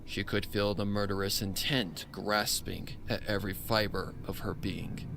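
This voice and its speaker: A man's voice: fairly low pitch, little pitch movement, moderate pace, vocal fry, fairly bright tone, a little hiss or hum, low-quality recording. A man giving a dramatic narration of a horror story. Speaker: audiobook narrator.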